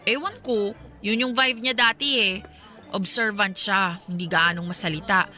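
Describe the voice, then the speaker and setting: A woman's voice: medium pitch, steady tone, measured pace, sharp tone, soft background music. An actress playing a friendly, teasing young woman chatting with her best friend in a Filipino radio drama. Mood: chatty, curious.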